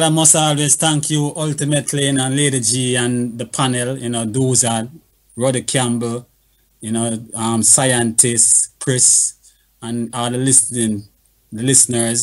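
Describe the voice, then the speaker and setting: A man's voice: mid-range voice, level tone, normal speed. A man talking as a panelist on a radio show over a call, earnest and full of "you know". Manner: earnest, patois phrasing, lots of "you know". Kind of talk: casual radio chat. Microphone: video call.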